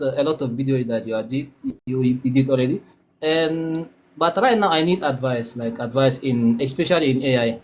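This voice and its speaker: A man's voice: mid-range voice, a little mellow. Hesitant, polite male caller. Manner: hesitant, many fillers, polite. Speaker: caller, master's student. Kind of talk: asking a question on a live call. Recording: lo-fi call with faint background noise.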